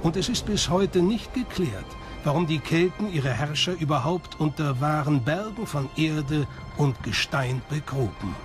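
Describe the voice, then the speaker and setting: A male documentary narrator with a fairly high voice reads a prepared script. Music plays clearly behind him. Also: expressive, measured pace. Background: background music.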